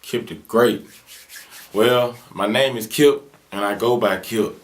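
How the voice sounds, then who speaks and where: Man, twenties, Southern US accent, very deep voice, laid-back slangy talk, interview answers. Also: slow. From Birmingham, Alabama. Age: 20s. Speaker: rapper.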